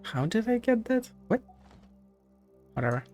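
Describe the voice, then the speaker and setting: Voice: mid-range voice, very animated, a little slow, darkish tone, soft background music. A streamer giving casual gameplay commentary, playful and sweary, mostly muttering to themself. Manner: playful, sweary, talking to self. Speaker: game streamer.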